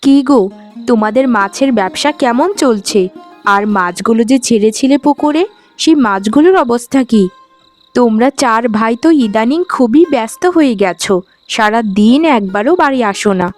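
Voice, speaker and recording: A woman voicing a gentle, dutiful sister-in-law in a cartoon village family drama, speaking patiently. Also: a little high, normal speed, soft background music, natural voice. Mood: concerned. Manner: patient, conciliatory.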